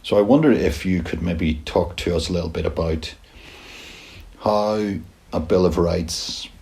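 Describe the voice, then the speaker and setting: Hesitant podcast host, male, low and creaky. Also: measured pace, darkish tone. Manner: hesitant, many fillers. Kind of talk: podcast interview.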